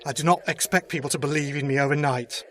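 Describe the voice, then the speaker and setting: A man's voice: a little high, fairly even intonation, quick pace, fairly bright tone, close to an everyday voice. A man playing a humble soldier turned leader in an audio drama, solemn and oratorical. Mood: hopeful. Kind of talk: fan audio drama acting, speechmaking.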